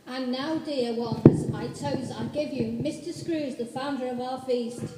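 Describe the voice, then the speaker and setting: A woman playing a gentle, kindly poor clerk and devoted father in a radio play. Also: high-pitched, measured pace, heavy background noise. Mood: earnest. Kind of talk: amateur stage radio play acting. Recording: echoey hall with long reverb.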